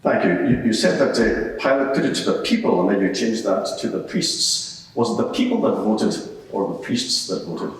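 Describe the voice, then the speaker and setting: A man's voice: on the low side, very animated, moderate pace, creaky, hardly any pauses. A man playing a courteous, probing lawyer, putting terse, formal questions to a witness in a dramatised inquiry. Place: large courtroom in a public building. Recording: distant, very echoey large hall.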